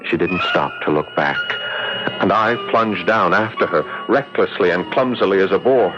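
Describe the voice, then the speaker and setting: Casting: male actor as earnest young romantic lead and narrator, low voice, lo-fi radio drama with music behind. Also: moderate pace, natural voice. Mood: dramatic narration. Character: lovestruck earnest young nephew, a visitor narrating his tale. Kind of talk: radio drama acting with first-person narration.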